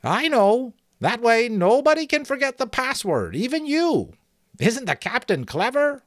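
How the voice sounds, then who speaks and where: High, thin, trembling sentry. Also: male voice, big pitch swings, measured pace, a bit bright, no echo, clean studio sound, heavily acted. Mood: pleased, proud. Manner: soothing, simple-minded, chatty. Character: dim, obliging outlaw sentry with a thin trembling voice.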